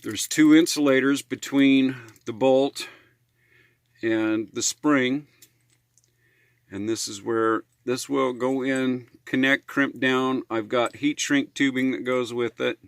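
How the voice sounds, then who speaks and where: A man's voice: medium pitch, steady tone, slow pace, smooth, no echo. An American man talking casually in a how-to vlog, in a rambling, hesitant, folksy way. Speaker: RV living vlogger.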